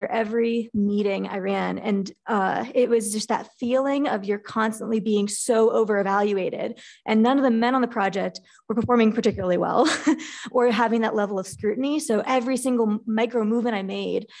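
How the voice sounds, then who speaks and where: Gravelly-voiced woman engineer speaking candidly. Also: on the high side, brisk, a little mellow. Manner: earnest, frequent fillers, light laughs. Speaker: engineer panelist. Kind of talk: candid panel talk.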